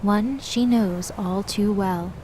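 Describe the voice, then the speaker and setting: A woman's voice: mid-range voice, slow. A woman reading scripted narration for a mythological audio drama. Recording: clearly noisy background.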